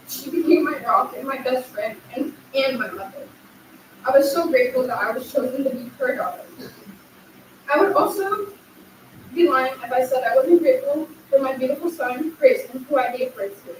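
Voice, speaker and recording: A woman's voice: sits high, lively intonation, moderate pace. A young woman giving an earnest, heartfelt graduation speech, reading it aloud from a podium. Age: young adult. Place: large echoey hall at a graduation ceremony. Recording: echoey hall, distant mic, faint noise.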